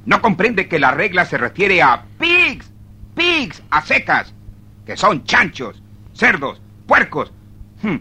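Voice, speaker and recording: An actor playing a hot-tempered, indignant customer in a radio play, haughty and sputtering with exclamations. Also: male voice, sits high, huge pitch swings, slow pace, sharp tone, breathy edge, full character voice. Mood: indignant, furious. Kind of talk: 1970s-80s Spanish-language radio theatre acting.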